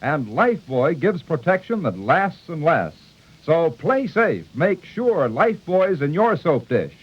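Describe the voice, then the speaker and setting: A man's voice: a little high, very animated, a little slow, husky. A man reading a scripted 1940s radio advertisement as a commercial announcer. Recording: dull 1940s radio recording.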